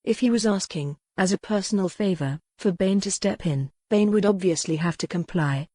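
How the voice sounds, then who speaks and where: A woman narrating a historical mystery novel in a measured, wry storytelling way, recorded at a studio mic. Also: medium pitch, dark tone, slightly rough, no echo. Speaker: audiobook narrator. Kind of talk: scripted narration.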